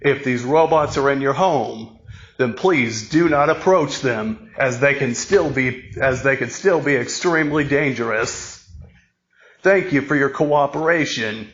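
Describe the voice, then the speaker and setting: Slow, solemn man reading a mock emergency alert. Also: mid-range voice, lo-fi sound. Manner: slow, solemn announcer reading with stumbles and repeats. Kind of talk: mock emergency broadcast announcement.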